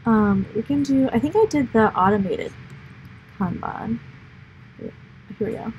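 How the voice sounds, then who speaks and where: A woman's voice: on the high side, lively intonation, a little slow, darkish tone, lo-fi sound, slight background noise. A young American woman hosting a livestream, explaining things in a rambling, upbeat chat full of fillers. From United States. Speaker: livestream host. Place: indoor office or lab room.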